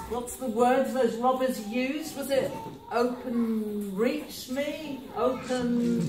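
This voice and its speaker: A woman playing a timid, puzzled hero in an amateur pantomime, halting and full of wonder. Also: high-pitched. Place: village hall stage, indoors. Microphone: distant audience camera. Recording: echoey village hall, distant, audience noise.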